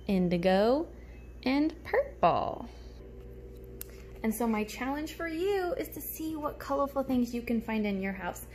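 Young woman, children's teacher, medium pitch, simple expressive explaining, show-and-tell style, faint room noise, phone recording at home. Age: young adult. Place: small room at home, indoors. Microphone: phone held close in front.

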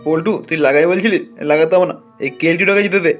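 A man's voice: a little high, fast, lo-fi sound, soft background music, lightly acted. A man playing a brash, foul-mouthed village gang leader in a comic cartoon, scolding and blustering colloquially.

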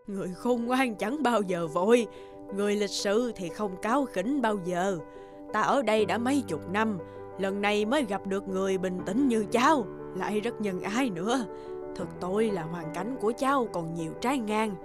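A woman playing a kindly, wise old goose herder in a cartoon fairy tale, speaking in a measured, sage-like way. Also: mid-range voice, natural voice. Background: loud background music.

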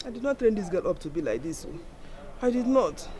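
A woman's voice: medium pitch, sing-song, a little fast, background noise, close to an everyday voice. A woman voicing an older, poor Nigerian village mother who pleads, complains and guilt-trips, switching into Igbo. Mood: lamenting. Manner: wailing, guilt-tripping, mixes Igbo into English.

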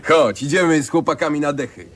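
An actor playing a cocky, teasing street lad in a radio drama, talking in blunt, colloquial slang. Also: male voice, on the high side, animated, moderate pace, natural voice. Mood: teasing, chummy. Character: cocky, teasing Warsaw street lad, long dead friend. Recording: clear street noise behind dialogue.

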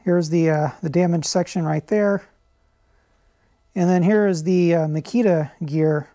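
A man's voice: on the high side, a little slow. Middle-aged guy walking through a repair, easygoing, lots of uhs and ums, workshop garage. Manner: relaxed, explanatory, frequent "uh" and "um". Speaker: channel host. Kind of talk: how-to repair demonstration.

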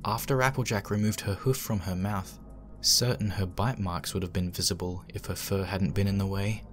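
A man with a low voice narrates a horror story. He reads it as scripted dramatic fiction, with music playing underneath. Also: steady tone, normal speed, a bit bright.

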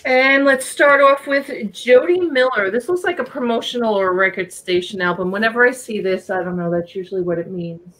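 A woman's voice: on the high side, fairly quick, a bit bright. Woman, New York accent, middle-aged, chatty live auction host, small home room, webcam. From Long Island, New York. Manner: chatty, thinking aloud while reading album titles. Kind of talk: casual live-stream selling records.

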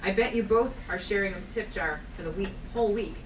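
A woman's voice: medium pitch, a little fast, close to an everyday voice. A woman playing a sassy, bossy coffee shop worker at a comedy table read, teasing and argumentative. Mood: challenging. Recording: echoey theatre, distant mic, clear noise.

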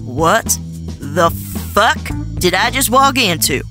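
A woman's voice: medium pitch, big pitch swings, takes it slowly. A woman voicing a sassy, exasperated young woman with a blunt, wry manner in a comic dub. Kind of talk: animated comic dub acting. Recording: music behind the voice.

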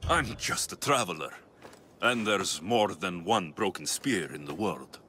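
An actor playing a tough warrior mercenary in a video game, blunt and demanding, with a hot temper. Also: male voice, low-pitched, animated, unhurried, bright, forceful tone, slight background noise, exaggerated voice. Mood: guarded, curt. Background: music.

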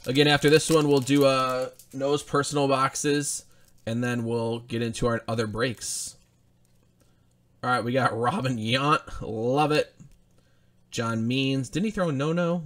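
A man's voice: mid-range voice, a bit bright. Young guy hosting a card break, chatty, names flying off as he rips packs at a desk. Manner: chatty, rattles off player names while opening packs. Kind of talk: live card-opening commentary. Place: indoor studio with branded backdrop.

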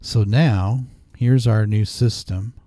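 A man hosting a casual screen-recorded software tutorial, explaining each step informally. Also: fairly low pitch, slow pace, dry recording, studio quality. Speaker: tutorial host. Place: indoors at a desk.

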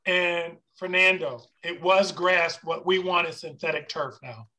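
Bright, forceful man chairing a meeting. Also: on the high side, measured pace, poor audio. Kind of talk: formal meeting discussion. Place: video conference meeting. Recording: video call audio.

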